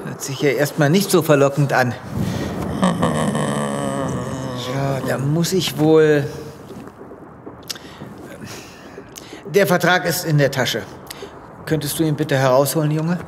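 An actor playing an eccentric, earnest space veterinarian in a comedic sci-fi audio drama, with a dramatic, quirky delivery. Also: male voice, a little high, fairly even intonation, moderate pace, a little hiss or hum, natural voice. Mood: sheepish. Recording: loud ambience and sound effects behind.

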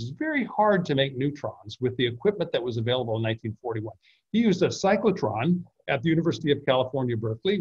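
A middle-aged man explains history and science to an audience, his pitch rising and falling in a lively way. His voice is slightly husky, and the sound is dull and lo-fi, like audio from a video call. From United States. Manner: explanatory, many fillers and restarts. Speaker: author giving a book talk. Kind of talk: illustrated slide presentation.

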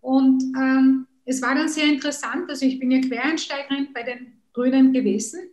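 A woman's voice: high voice, steady tone, moderate pace, a little mellow, echoey room. A woman in her thirties whose first language is Kurdish, speaking earnestly on a video call with frequent hesitations. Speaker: politician, interview guest. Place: room at home.

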